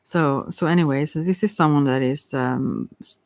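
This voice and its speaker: A non-native English speaker giving a casual, unscripted tarot reading in a hesitant, halting way, searching for words. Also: mid-range voice, creaky, no room sound. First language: not English. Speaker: tarot reader.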